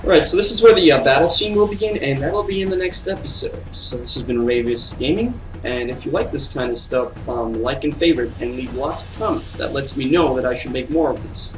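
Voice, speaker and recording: A man's voice: medium pitch, fairly quick, few pauses, noisy background. Casual male gamer, slightly distant mic. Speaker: let's play gamer. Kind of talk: casual game commentary. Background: game music.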